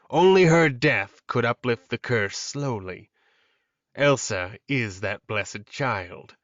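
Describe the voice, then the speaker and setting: A man's voice: medium pitch, a bit bright, no echo, dull audio. A man playing a defeated, lovelorn villain, brooding and mournful, muttering his lines in a dramatized fantasy audiobook.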